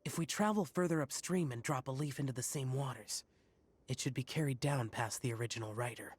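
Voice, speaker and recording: A man's voice: medium pitch, fairly quick, husky, lightly acted. A man playing an aloof, solemn, youthful demon-slaying guardian in an anime-style game, terse and formal. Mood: solemn, detached.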